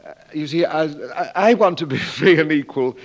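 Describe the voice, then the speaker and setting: An actor in a 1940s radio drama playing a dignified, deferential English manservant, speaking formally and politely with some hesitation in an English accent. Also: male voice, on the high side, normal speed, highs cut off. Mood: earnest.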